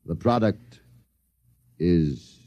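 An actor playing a calm, mocking Martian invader disguised as a man, in a 1950s radio drama. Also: low-pitched, very slow, highs cut off, full character voice. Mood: sinister. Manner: soft, calm, mocking menace. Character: sinister smooth male Martian invader in disguise.

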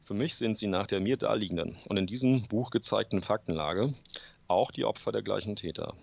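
Low gravelly man with a cold. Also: no room sound, lo-fi sound. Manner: halting, with many fillers and restarts. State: has a cold, clears throat often. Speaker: author guest. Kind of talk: interview answers. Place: room full of books at home.

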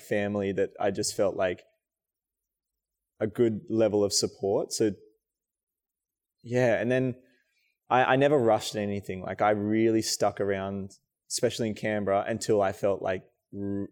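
A man's voice: lowish voice, a little mellow. A young man, a choreographer and dancer, chatting casually and reflectively in an interview, with many fillers. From Australia.

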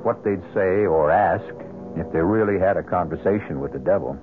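A man's voice: sits low, normal speed. A man hosting an old-time radio mystery, narrating in a scripted dramatic-anthology style. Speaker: host narrator. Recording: narrow-band vintage radio recording. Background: loud dramatic music.

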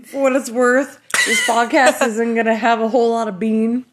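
A woman's voice: fairly high pitch, steady tone, normal speed, background noise. A woman from Virginia with a Southern accent, co-hosting a casual family podcast and teasing bluntly. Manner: teasing, blunt, swears, calls people bud. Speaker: podcast co-host, mother.

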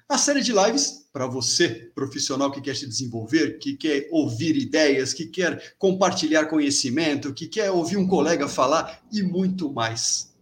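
A man hosting a live interview series, giving upbeat, formal welcomes and introductions. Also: a little high.

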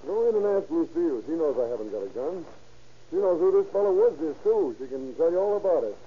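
An actor playing a nervous, evasive husband under questioning in an old radio crime drama, stammering and defensive. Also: male voice, a little high, wildly swooping pitch, quick pace, creaky, slightly rough, full character voice. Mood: defensive, insistent. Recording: muffled, noisy old radio recording.

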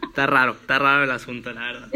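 A man's voice: medium pitch, very fast. A young man with a Mexican Spanish accent, hosting a casual podcast chat with jokes and banter. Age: young adult. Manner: relaxed, joking, friendly banter. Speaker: podcast host.

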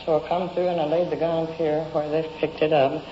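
A woman's voice: deep voice, little pitch movement, brisk. A woman giving a plain-spoken, rambling account as a witness in a police interview. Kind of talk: recounting events in a police interview. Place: indoors, in a home. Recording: muffled, noisy 1950s field radio recording.

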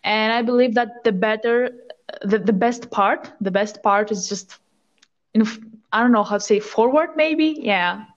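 A woman's voice: on the high side, normal speed, lo-fi sound. A twenty-one-year-old woman, a podcast guest, speaking earnestly and reflectively in casual chat.

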